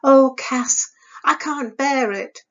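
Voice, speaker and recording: A woman acts out a dreamy, restless young woman from a storybook. Her voice is high and dramatic, lingering on words with passionate emphasis. The audio is slightly dull. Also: fairly even intonation, natural voice. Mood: despairing. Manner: gushing, dramatic, sighing, drawn-out emphasis. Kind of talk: audiobook character voice.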